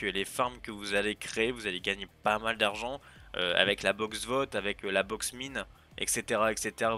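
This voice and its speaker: Male server owner, casual showcase voice-over, bright tone, rambling with fillers. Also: fairly low pitch. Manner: chatty, hesitant with many fillers and restarts. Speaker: server owner presenting a game server. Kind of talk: casual gameplay showcase narration.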